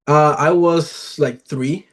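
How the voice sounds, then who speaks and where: A man's voice: fairly high pitch, fairly even intonation, slow. A man in his twenties from Venezuela with a Latin American accent, talking casually as an athlete being interviewed. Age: 20s. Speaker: professional baseball player, interview guest.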